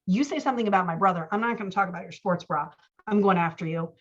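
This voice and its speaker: A woman talking casually as a writing coach, giving feedback and acting out bits of dialogue in an animated, conversational way. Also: lowish voice, quick pace. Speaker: book coach giving feedback on a writer's scenes. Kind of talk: casual coaching talk mixed with reading out scene dialogue. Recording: slightly dull, lo-fi audio.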